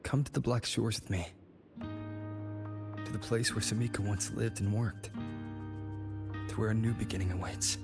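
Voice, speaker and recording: A solemn, welcoming video game man. His voice is on the low side and calm, with loud instrumental music behind him. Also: unhurried, long pauses. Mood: solemn, inviting. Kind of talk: video game story dialogue.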